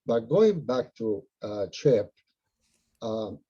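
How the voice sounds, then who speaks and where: A man's voice: fairly low pitch, very animated, extremely slow, warm tone, slightly rough, lo-fi sound. A man with an Italian accent, a local butterfly group organizer, talking earnestly and rambling with many fillers on a video call.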